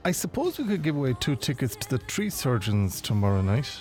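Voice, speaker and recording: A man's voice: medium pitch, big pitch swings, a little fast, darkish tone, soft background music, close to an everyday voice. An Irish man playing a self-important, put-upon late-night love-song radio presenter in a comedy radio play, bossy and dry in his delivery.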